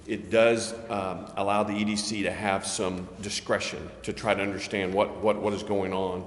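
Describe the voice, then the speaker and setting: A man explaining things at a public meeting in a measured, halting, careful way, as a city official. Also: on the low side, flat delivery. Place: indoor council chamber. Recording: echoey meeting chamber with background noise.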